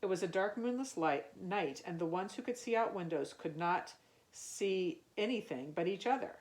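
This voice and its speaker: A woman reads a children's story with a lively, swooping intonation. Her voice sits a little low for a woman, and she speaks in a musing, wondering way meant for children. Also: moderate pace, poor audio. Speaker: youth ministry storyteller. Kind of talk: reading a children's picture book aloud.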